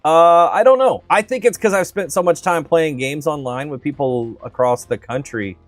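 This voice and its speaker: A man's voice: fairly high pitch, moderate pace, dry recording. A man from the Southern United States with a neutral accent. He rambles casually with lots of fillers while commenting on a game he is playing. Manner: rambling and chatty, with many fillers. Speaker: streamer. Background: faint game music.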